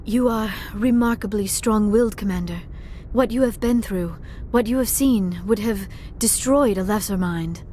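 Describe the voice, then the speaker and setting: A woman playing a gentle, earnest young alien scientist in a video game, speaking formally and precisely. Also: mid-range voice, normal speed, hardly any pauses, a little hiss or hum, close to an everyday voice. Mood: awed. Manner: formal, precise speech without contractions. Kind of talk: video game dialogue acting.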